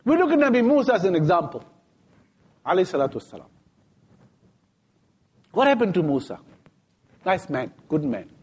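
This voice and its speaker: A man preaches in a mosque, his fairly high voice swinging widely up and down. He tells stories in plain everyday speech and slips into lively dialogue. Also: moderate pace, pauses often, husky. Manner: storytelling, retelling scenes in everyday speech with lively quoted dialogue. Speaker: sheikh giving a Friday talk. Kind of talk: sermon.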